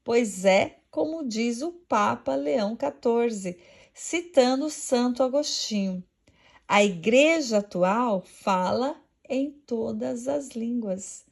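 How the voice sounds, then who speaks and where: A woman with a Portuguese accent giving a measured, devout religious reflection read from a script. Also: a little high, expressive, creaky.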